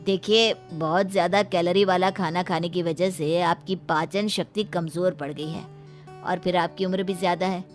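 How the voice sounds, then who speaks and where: A woman plays a cartoon doctor with a slightly low voice. The delivery is calm, serious and measured, as if advising a patient, with soft music behind. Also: lightly acted.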